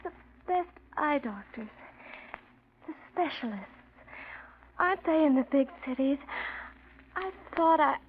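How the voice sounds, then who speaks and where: High, plaintive invalid young woman. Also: animated, unhurried, long pauses, narrow, tinny audio. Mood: hesitant, coaxing. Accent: American. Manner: plaintive, pleading, hesitant. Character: fragile, clinging, manipulative sick young woman. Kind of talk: 1940s radio drama acting. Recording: thin, noisy old radio recording.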